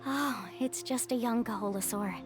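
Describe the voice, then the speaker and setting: A woman's voice: a little high, normal speed, lightly acted. A woman voicing a cheerful young woman who guides her group and takes the lead. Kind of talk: game voice acting. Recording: game audio with faint music.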